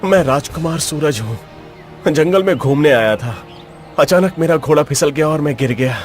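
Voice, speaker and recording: A man's voice: on the high side, expressive, normal speed, soft background music, close to an everyday voice. A man voicing an earnest, sorrowful young prince in a cartoon story, speaking formally and with feeling. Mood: grateful, earnest. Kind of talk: dramatized cartoon story dialogue.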